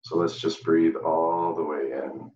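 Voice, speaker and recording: A man guides a meditation in a very low, even-toned voice. He speaks gently and soothingly, leaving long pauses between phrases. The sound is roomy, as if the microphone sits some way off. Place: indoor room, live virtual event. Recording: dull, roomy, distant microphone.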